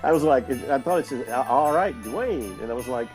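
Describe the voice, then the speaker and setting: A man's voice: mid-range voice, expressive, normal speed, a little mellow, soft background music. A man co-hosting a casual podcast, joking and self-deprecating, sounding nasal from a cold. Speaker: podcast co-host.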